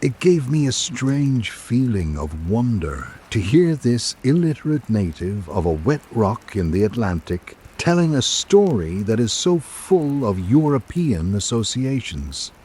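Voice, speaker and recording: A man reading literary scripted narration in a measured, formal, reflective manner, as for a radio drama. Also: medium pitch, sing-song, mellow, breathy edge.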